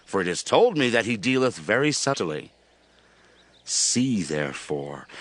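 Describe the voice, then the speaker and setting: A man's voice: medium pitch, bright, forceful tone, no echo, full character voice. An actor playing a scheming, obsessive king in a dramatized audio Bible, declaiming in a grand theatrical way. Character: scheming, obsessive king hunting his rival.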